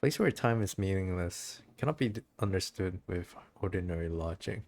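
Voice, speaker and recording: A man livestreaming a game, reacting casually and excitably and sometimes reading the text aloud. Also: sits low, moderate pace, gravelly. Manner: excitable, giggly, stumbles when reading. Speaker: game streamer.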